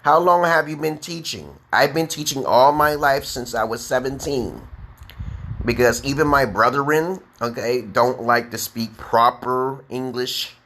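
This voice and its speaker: A man with a New York accent teaching beginner English on a live stream, speaking slowly and emphatically and checking in with "okay?". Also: mid-range voice, fairly bright tone. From United States. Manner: drawn-out, emphatic, repeats letters and "okay?". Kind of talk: slow deliberate live-stream lesson.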